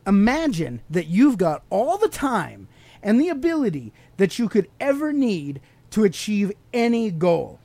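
Animated, high-voiced man teaching conversationally. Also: a little slow, creaky, no echo. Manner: explanatory, addresses listener with questions. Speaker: podcast host.

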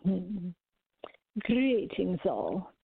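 A woman's voice: on the low side, very animated, very slow, gravelly, a little hiss or hum, full character voice. A woman playing a seductive, mysterious cat-worshipping spiritual healer in a radio play, purring and formal. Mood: sultry, serene. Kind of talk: amateur radio play acting. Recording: dull lo-fi audio.

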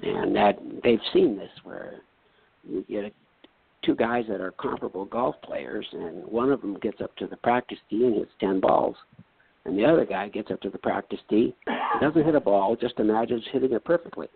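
A man's voice: fairly low pitch, moderate pace, creaky, breathy edge, no echo. A man in his seventies from North Dakota, a retired doctor telling a personal story in a folksy, earnest way and acting out the things people said to him. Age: 70s. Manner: plain storytelling, folksy and earnest, acts out quoted exchanges. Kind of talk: personal story, casual talk. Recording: tinny phone-like line, dry.

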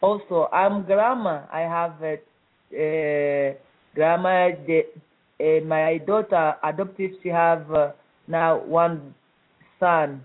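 Congolese woman speaking haltingly over a phone line. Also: low-pitched, steady tone. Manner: halting, simple phrasing with frequent pauses. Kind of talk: interview answers.

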